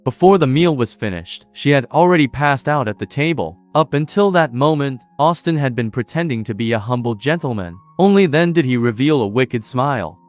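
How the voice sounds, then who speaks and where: A man reading scripted narration for an animated story in a steady, even storytelling manner. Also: mid-range voice, fairly quick, hardly any pauses. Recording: dry voice-over with faint music.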